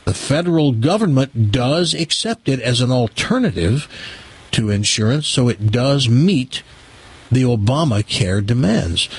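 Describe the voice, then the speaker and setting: A man's voice: medium pitch, husky. An American man hosting a radio talk show in a casual, rambling, conversational way, with some joking. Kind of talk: casual live radio talk with ad reads and caller chat.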